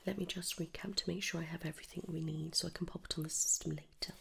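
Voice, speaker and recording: A British woman whispering softly and gently in an ASMR medical roleplay, reassuring and unhurried, close to the mic. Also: sits low, fairly even intonation, a little hiss or hum. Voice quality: breathy whisper and hushed soft voice. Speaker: ASMR performer playing a dental receptionist or dentist.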